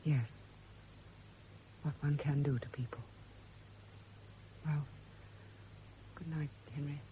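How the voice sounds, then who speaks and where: A woman's voice: low-pitched, fairly even intonation, takes it slowly, dark tone. An actress playing an embittered, sleepless, guilt-ridden woman in a classic radio drama, speaking with tense emotional intensity. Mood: guarded. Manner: intense, emotional, self-justifying. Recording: muffled, noisy old radio recording.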